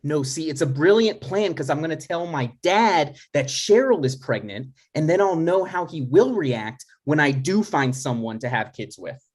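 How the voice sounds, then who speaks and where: Man playing an exasperated, animated grown son. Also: fairly high pitch, moderate pace, few pauses, slightly rough. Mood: defensive, scheming. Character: exasperated grown son, thirty-six, scheming and defensive. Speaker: writer and actor. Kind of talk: live comedy table read.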